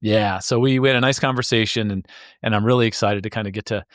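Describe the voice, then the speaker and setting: A man's voice: fairly low pitch, steady tone, fast, dry recording, studio quality. A man hosting an interview podcast, upbeat and enthusiastic in a casual chat, often restarting his words. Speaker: podcast host.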